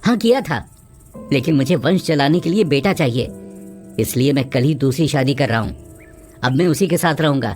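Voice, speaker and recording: A woman's voice: low-pitched, expressive, normal speed, darkish tone, soft background music, pitched below the performer's own voice. A woman voicing a selfish, callous husband in a cartoon story, with a blunt manner. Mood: angry, cold. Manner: blunt, scolding.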